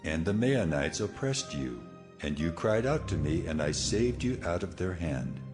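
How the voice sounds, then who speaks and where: A man's voice: deep voice, big pitch swings, a little slow, over music. A man playing a stern, grieved and commanding God in a dramatized scripture reading, solemn and reproachful.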